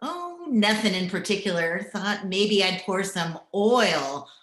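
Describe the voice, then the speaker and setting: Slow, droll housemaid in a roomy recording woman acting. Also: medium pitch, expressive, a bit bright. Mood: sly. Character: drily meddling, servile female housemaid. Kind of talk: old-time radio comedy acting. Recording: roomy, clearly noisy home recording.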